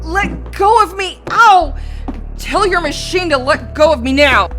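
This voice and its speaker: A furious, grief-stricken captive woman played by an actress in an audio drama. Her voice is piercingly high and shrill, with anguished, stretched-out shouting. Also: animated, measured pace, soft background music, heavily acted. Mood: anguished fury. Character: distraught, furious female captive, grieving sister.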